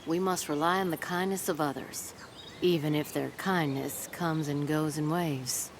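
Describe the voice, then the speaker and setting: Game role: kindly but firm matriarch of outcasts. Low pitch, measured and formal, faint ambience behind. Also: female voice, fairly even intonation, noisy background. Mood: composed, kindly. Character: protective, kindly, composed female leader of outcast community. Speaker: community leader character. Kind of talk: video game dialogue.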